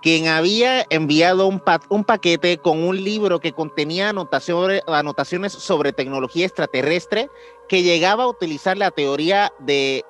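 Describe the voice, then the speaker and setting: A man's voice: on the high side, normal speed, a bit bright, faint music behind. A young man with a Mexican accent co-hosting a podcast, telling a mystery story in casual, slang-filled chat. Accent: Mexican Spanish. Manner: slangy, says "güey" often, acts out voices in quotes. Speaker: podcast co-host.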